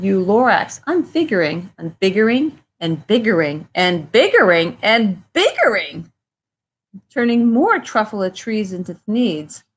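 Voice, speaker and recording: A woman's voice: medium pitch, lightly acted. A woman reading a picture book aloud, voicing a greedy man who answers back in a blustering, defiant way.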